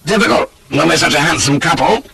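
A man's voice: a little high, expressive, fast talker, gravelly, bright, forceful tone, slightly rough, pitched above the performer's own voice, exaggerated voice. A man voicing a leering, flippant scoundrel in a storybook, with a mocking manner.

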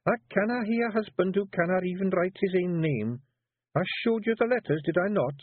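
A man voicing a sharp-witted, bossy female pixie with a Scottish accent who talks briskly and coaxingly. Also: high voice, husky, no echo, narrow, tinny audio, voice pushed up.

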